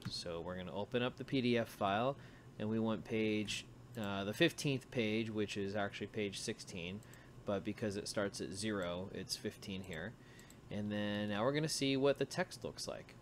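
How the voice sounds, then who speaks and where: A man's voice: on the low side, a little hiss or hum. A man giving an informal screencast coding tutorial, thinking aloud as he works through each step. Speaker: programming tutorial instructor.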